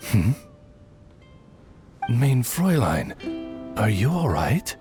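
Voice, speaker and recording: A man's voice: mid-range voice, big pitch swings, takes it slowly. A man voicing a formal, dutiful raven servant in a video game, speaking like a deferential butler. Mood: solicitous, deferential. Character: formal, dutiful male raven familiar serving a young lady. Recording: clear game music behind.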